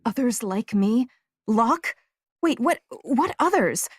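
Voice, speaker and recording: A woman's voice: a little high, lively intonation, slow pace, slightly rough, dry recording, clean studio sound, full character voice. An actress playing a young woman, newly blinded, who is frightened, emotional and defiant. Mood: panicked. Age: young adult. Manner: agitated, emotional, talks back sharply.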